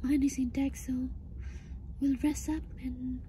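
Woman, thirties, hoarse and breathy, very dark muted tone, flat intonation, upset, halting, casual vlog in a car. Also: high voice. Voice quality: hoarse, breathy, often breaking into whisper. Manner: halting, hesitant. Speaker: vlogger. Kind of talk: personal health update vlog, casual talk to camera. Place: inside a parked car. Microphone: phone at arm's length. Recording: faint background noise in car.

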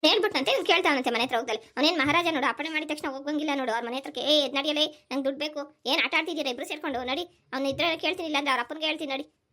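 A woman's voice: high-pitched, very fast, pushed and bright. A woman playing an exasperated village mother in a cartoon family story, nagging and sarcastic as she complains. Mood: scolding, sarcastic. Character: scolding, exasperated village mother with a grown son.

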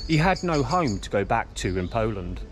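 A man in his forties presenting a history documentary to camera in a measured, deliberate way, pausing between short phrases. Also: mid-range voice, very animated, background noise. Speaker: host of a history exploration vlog. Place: outdoors among derelict buildings in woodland. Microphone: camera held at arm's length.

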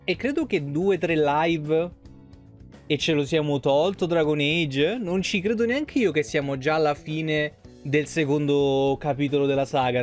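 A young man, chatting casually on a game livestream in an informal, rambling, sweary way. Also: on the high side. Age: young adult. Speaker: video game streamer. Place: small room indoors at a streaming desk. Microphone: desk microphone close up, with headset.

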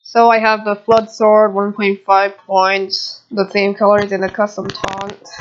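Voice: mid-range voice, monotone, a little slow, a little hiss or hum. Dull-sounding young-voiced gamer rambling through gameplay. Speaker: gamer narrating own gameplay video. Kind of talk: casual gameplay commentary. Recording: dull lo-fi home microphone.

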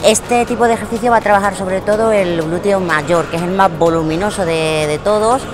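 A woman in her thirties explaining gym exercises on a lapel mic, in a relaxed teaching way with frequent fillers and repeated words. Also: medium pitch, brisk. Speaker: fitness trainer. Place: large indoor gym. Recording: music playing behind the voice. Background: background music.

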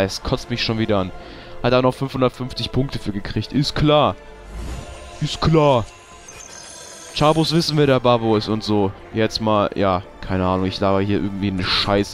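Young man with a cold, ranting. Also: medium pitch. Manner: swearing, frustrated ranting. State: has a cold. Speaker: let's play host. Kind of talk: casual gameplay commentary.

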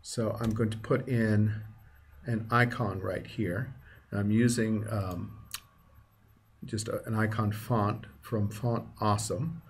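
A man's voice: lowish voice, fairly even intonation, takes it slowly. A man presenting a casual screencast tutorial, explaining things step by step as he goes. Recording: clear background noise, keyboard typing and clicks.

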